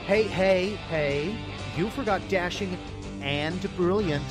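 A roguish starship captain, acted by a man, boastful and unhurried. His voice is high, over loud music in a space adventure serial. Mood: cocky.

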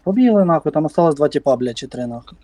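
Very fast-talking husky man, agitated. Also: a little high, lively intonation, warm tone. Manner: agitated, argumentative, swears a lot. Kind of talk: heated in-game voice chat.

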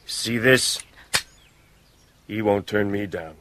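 An actor playing a brash, excitable small-time American crook in a radio drama, slangy and blustering. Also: male voice, on the low side, takes it slowly, background noise. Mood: tough, blustering. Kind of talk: 1980s radio drama acting.

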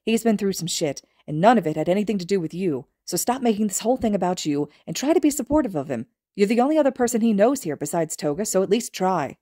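A woman's voice: mid-range voice, animated, quick pace, few pauses, dark tone, dry recording, studio quality, lightly acted. A woman voicing a blunt, loyal teenage boy hero who scolds his friend earnestly in a read-aloud story. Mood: scolding.

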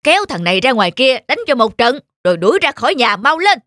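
A man's voice: very high-pitched, big pitch swings, quick pace, pushed and bright, no room sound, clean studio sound, exaggerated voice. A man playing a greedy, bullying middle-aged village master in a cartoon folk tale, with a scolding, scheming manner. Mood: angry. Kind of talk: animated folk tale acting. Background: music.

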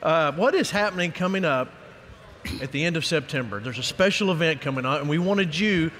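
A male host interviews guests on stage in front of an audience. His voice sits a little high, and there is faint live noise behind him. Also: animated, a little fast, fairly bright tone. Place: stage in a large convention hall.

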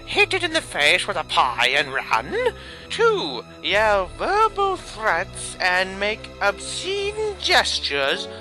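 Lady doing a cranky old hag, bossy, slow and sharp, video game spoof. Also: medium pitch, huge pitch swings, soft background music, exaggerated voice, poor audio. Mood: knowing. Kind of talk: comic dub of a fantasy video game.

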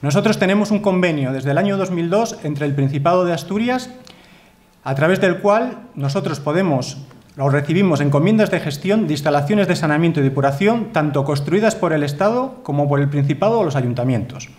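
A Spanish-accented man in his thirties lecturing at a conference, speaking into a desk microphone in a wood-panelled hall. His voice sits a little high for a man, and his delivery is earnest and emphatic, with frequent tag questions. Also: animated, brisk, a little mellow. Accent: Spanish from Spain. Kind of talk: formal conference talk with slides.

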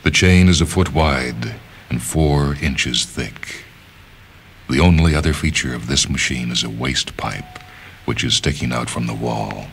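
A man narrating literary fiction as a dramatic reading, in a measured, deliberate manner with pauses. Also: a bass voice, gravelly, a bit bright, a little hiss or hum. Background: music.

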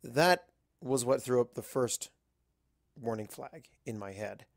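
A man's voice: mid-range voice, expressive, takes it slowly, no room sound. Man narrating a story, close and dry. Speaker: fan fiction narrator. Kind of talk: scripted first-person story narration.

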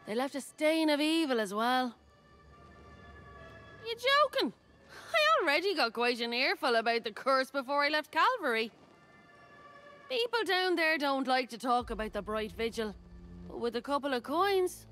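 A woman's voice: high voice, fairly bright tone. An actress voicing a wry, streetwise, mercenary woman treasure hunter in a fantasy video game, talking in a sly, colloquial way. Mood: wry, curious.

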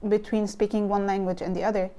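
Egyptian-accented young woman with a mellow voice. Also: mid-range voice, little pitch movement, fast talker. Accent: Egyptian Arabic. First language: Arabic. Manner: nervous, thoughtful, with frequent ums and likes. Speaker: vlogger. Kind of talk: casual explainer vlog. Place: home room with bookshelves, indoors. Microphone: lapel mic, camera close.

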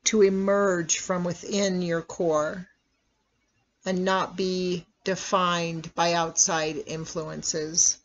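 A meditation guide speaking in a measured, instructional way with long pauses between phrases. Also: medium pitch, flat delivery. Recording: dull, lo-fi recording.

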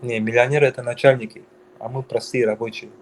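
A man's voice: medium pitch, steady tone, fast, noisy background. A young man from Dagestan who answers questions on a call, hesitant and getting defensive.

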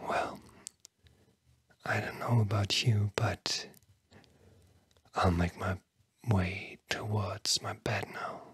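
A tired-sounding ASMR host whispering a bedtime talk in a hushed, soothing, breathy way with long pauses. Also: sits low, fairly even intonation, no echo.

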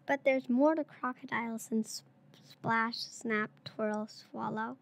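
A woman's voice: fairly high pitch, fairly even intonation, slow pace, gravelly. A girl of about eight or nine, a third-grade pupil, reading a picture book aloud. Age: child, around eight or nine.

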